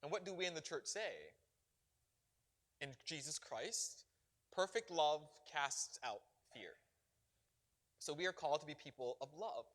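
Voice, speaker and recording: A middle-aged man from Barbados, a bishop answering questions at a parish gathering with animated, preacherly emphasis and frequent laughter. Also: a little high, a little slow, pauses often. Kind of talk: parish Q&A talk.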